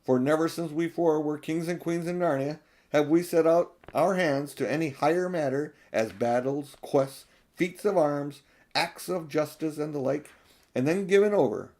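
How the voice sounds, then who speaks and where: A man's voice: a little high, moderate pace, a little mellow, lightly acted. A man voicing a noble, solemn storybook king with formal, archaic, courtly speech. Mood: proud, firm.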